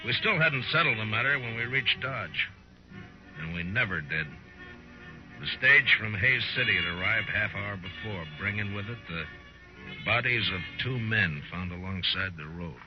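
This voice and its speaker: Man acting a terse frontier lawman, very low pitch, stern, laconic, 1950s radio western, dull archival recording. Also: expressive, moderate pace, over music, lightly acted. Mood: dry narration. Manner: terse, understated, questioning. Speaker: lead actor and narrator in a radio western.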